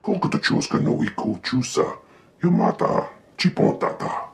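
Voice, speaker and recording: A man voicing a gruff alien henchman in a video game, speaking a guttural alien tongue with a raspy voice. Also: moderate pace, lightly acted. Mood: gruff reporting. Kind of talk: video game character acting.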